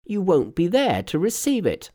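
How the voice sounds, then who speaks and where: A man performs an old village father from a storybook, raised in pitch and very lively in intonation. He sounds humble and earnest, pleading his words with deferential, emotional emphasis. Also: moderate pace. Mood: grateful, frank. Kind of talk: storybook character voice.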